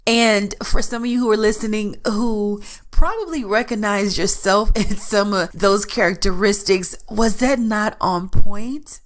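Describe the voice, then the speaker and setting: A woman's voice: mid-range voice, unhurried. A woman hosting a solo podcast in a chatty, earnest way, her voice stuffed up from allergies. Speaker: podcast host. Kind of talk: casual solo podcast talk.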